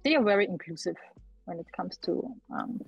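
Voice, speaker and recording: A woman's voice: lowish voice, animated, fairly quick, gravelly, breathy edge, no echo. Guest: young woman, friendly, dull dry lo-fi audio, faint music under it. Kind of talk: casual chat. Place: home room. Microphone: video call.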